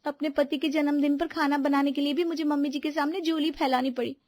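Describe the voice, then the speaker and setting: A woman's voice: sits high, little pitch movement, brisk, darkish tone, no room sound, lightly acted. A woman voicing an outspoken younger daughter-in-law in a family story, complaining and pleading her case. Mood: aggrieved. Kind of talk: animated Hindi family story dialogue.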